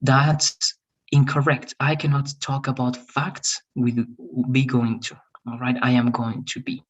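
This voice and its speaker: Husky teacher on a call. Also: male voice, mid-range voice, little pitch movement, a little slow, muffled recording. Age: 30s. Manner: patient, explains step by step, many "all right?" checks. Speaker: English teacher. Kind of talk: online grammar lesson.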